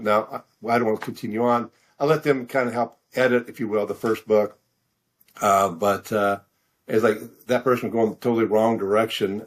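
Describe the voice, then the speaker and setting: Halting middle-aged man telling his story. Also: mid-range voice. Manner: halting, self-deprecating, often repeats words while thinking. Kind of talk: casual conversational storytelling.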